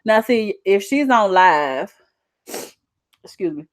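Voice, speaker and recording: A woman's voice: medium pitch, expressive, takes it slowly, no room sound, clean studio sound. A young adult woman with a Southern US accent, talking casually as a channel host in a folksy, emphatic way on a livestream. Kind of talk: casual livestream chat reading out viewer comments.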